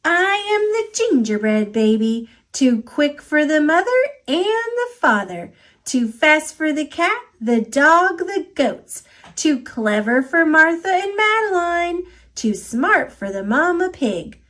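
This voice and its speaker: A woman's voice: high-pitched, big pitch swings, slow pace, fairly bright tone, exaggerated voice. An American woman voicing a cheeky, boastful little gingerbread baby from a storybook, in a singsong taunting manner.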